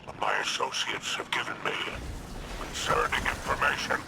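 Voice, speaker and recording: An actor playing a sly, menacing alien space pirate crime lord in a video game, with a growling, gravelly voice and theatrical drawl. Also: male voice, mid-range voice, huge pitch swings, normal speed, very noisy, heavily acted. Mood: sly, confident. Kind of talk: video game character dialogue. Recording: loud game ambience behind voice.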